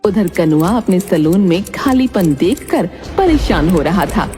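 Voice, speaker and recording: A woman's voice: lowish voice, animated, a little slow, mellow. A woman narrating a scripted children's moral story. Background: background music.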